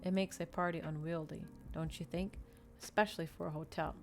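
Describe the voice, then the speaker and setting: Woman reading as haughty, mocking male vampire, softly creaky. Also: low-pitched, fairly even intonation, fairly quick, faint music behind. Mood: mocking, playful. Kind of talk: soft ASMR audiobook character reading.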